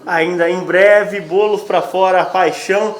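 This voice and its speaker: A Brazilian man hosting a casual, joking interview with a playful, teasing manner and quick banter. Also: sits high, fairly even intonation. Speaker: host interviewing vocal coaches. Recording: roomy echo with voices around.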